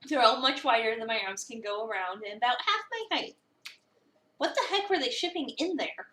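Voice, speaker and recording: A woman reading a visual novel as an eager, awkward new cafe employee, chatty, expressive and self-deprecating. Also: on the high side, a little fast. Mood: eager, flustered. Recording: roomy, a bit far from the mic.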